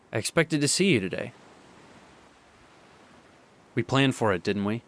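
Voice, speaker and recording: A young man playing a heartbroken boyfriend in an audio drama monologue, speaking earnestly and haltingly. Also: mid-range voice, expressive. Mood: frustrated, accusing. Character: heartbroken young boyfriend pleading with his distant partner.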